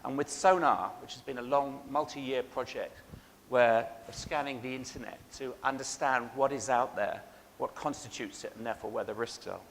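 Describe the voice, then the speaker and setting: A man with an English accent speaks to a large hall through a microphone, his voice trailing off in a long reverb. He talks in a measured way, with frequent ums and restarts, over a faint hum in the background. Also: medium pitch, fairly even intonation. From England. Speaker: keynote speaker. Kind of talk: conference keynote talk.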